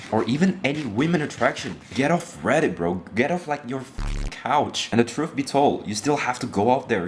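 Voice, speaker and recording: A man's voice: fairly low pitch, a little hiss or hum. Blunt young man. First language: Indonesian. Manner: blunt, profane, calls listeners "bro". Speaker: self-improvement vlogger. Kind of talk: blunt motivational rant to viewers.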